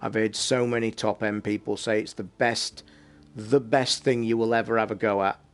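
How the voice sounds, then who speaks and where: A man hosting a casual late-night livestream from his flat, talking in a rambling, blunt way and swearing freely. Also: fairly low pitch, normal speed, clear, resonant tone. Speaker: livestream host. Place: home flat.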